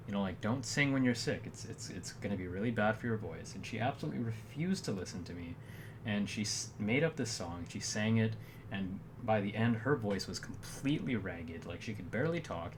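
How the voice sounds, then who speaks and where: Rambling low-voiced man with background noise. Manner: rambling, self-correcting, wry deadpan humor. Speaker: vlogger. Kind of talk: casual storytelling monologue.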